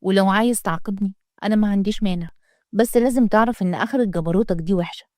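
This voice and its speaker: Woman doing a defiant young nanny, quick and mellow, dry close sound. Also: medium pitch, no echo, natural voice. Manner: defiant, mocking.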